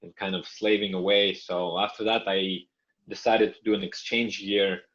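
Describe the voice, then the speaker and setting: A man's voice: low-pitched, level tone, normal speed, a bit bright. A young man whose first language is Russian, who grew up in Canada, talking as a podcast guest in a thoughtful way, trailing off and starting over. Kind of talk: conversational interview. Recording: muffled lo-fi audio.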